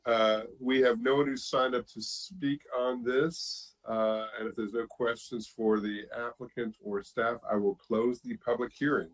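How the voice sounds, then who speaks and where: A man's voice: medium pitch, steady tone, a little slow, few pauses, poor audio. A man presiding as chair over a public board meeting, moving through procedure in an orderly but informal way.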